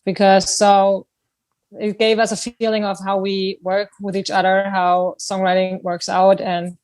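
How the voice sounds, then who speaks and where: A woman with a German accent chatting casually in an interview as a band singer, halting as she searches for words. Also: medium pitch, flat delivery, darkish tone, no room sound.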